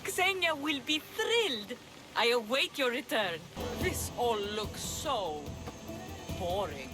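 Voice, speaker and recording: An actress playing a sly, flippant pirate schemer in a video game, with a teasing, casual way of talking. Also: female voice, on the high side, big pitch swings, slow, music in the background. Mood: coy, flippant. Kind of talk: video game cutscene dialogue acting. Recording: clear background ambience noise.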